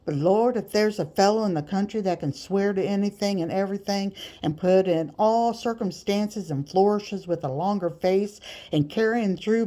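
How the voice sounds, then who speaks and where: Audiobook slave catcher, female reader playing a crafty, bragging man with rustic speech, soft-edged and leisurely. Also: medium pitch, natural voice. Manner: rustic nonstandard grammar, gleefully bragging. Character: slick, boastful male slave catcher, sharp and scheming. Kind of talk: audiobook character voice.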